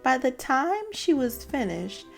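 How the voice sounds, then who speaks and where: Slow, expressive woman reading to children. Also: sits high, creaky. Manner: expressive storytelling. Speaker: storybook narrator, channel host. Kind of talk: children's read-aloud narration. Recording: music behind the voice.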